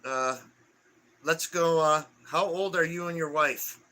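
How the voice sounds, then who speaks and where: A man in his forties co-hosting a casual live stream, reading viewer comments aloud in a halting way with lots of fillers. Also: on the high side, sharp tone. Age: 40s.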